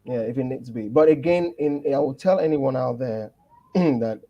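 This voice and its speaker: A man's voice: medium pitch, moderate pace, muffled recording. A man guest on a talk show, the husband, who keeps affirming with "yeah" and gives earnest advice. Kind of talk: interview chat.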